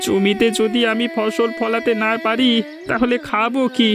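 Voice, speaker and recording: A man's voice: extremely high pitch, flat delivery, normal speed. A man voicing a worried, grumbling village farmer in a cartoon folk tale, with a plaintive, complaining manner.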